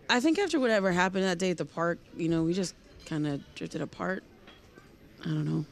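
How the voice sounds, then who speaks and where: A woman's voice: lowish voice, a little mellow, natural voice. Hesitant, guarded young woman, played by an actress. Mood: reflective, uneasy. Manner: hesitant, halting. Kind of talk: naturalistic audio drama dialogue.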